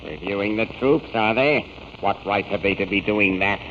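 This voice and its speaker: A noisy old radio recording carries a low-pitched general. He is proud and vengeful, blustering and muttering with imperious authority in the manner of a 1930s serial. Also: moderate pace, fairly bright tone, highs cut off. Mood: indignant. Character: proud scheming army general, loyal and vengeful.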